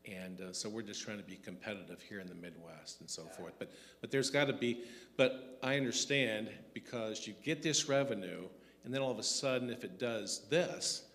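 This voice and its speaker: Lowish-voiced man in a reverberant hall. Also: fairly bright tone. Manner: halting, hedging explanation. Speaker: state legislator. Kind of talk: answering questions at a public forum. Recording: reverberant hall with faint background noise.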